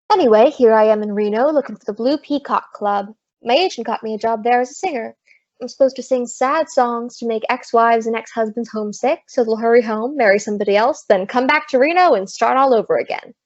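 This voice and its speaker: Actress as sassy American showgirl, high voice, breezy slangy wisecracks, radio comedy, muffled lo-fi sound. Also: fairly quick, a little mellow, lightly acted. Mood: breezy, wry. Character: wisecracking, street-smart, broke American showgirl Maisie. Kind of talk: 1940s radio comedy acting. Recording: dull lo-fi old-radio-style recording.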